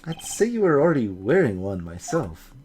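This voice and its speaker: Man voicing a dry, animated young defense lawyer. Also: mid-range voice, moderate pace, a little hiss or hum, voice pushed down, natural voice. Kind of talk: voiced visual novel dialogue.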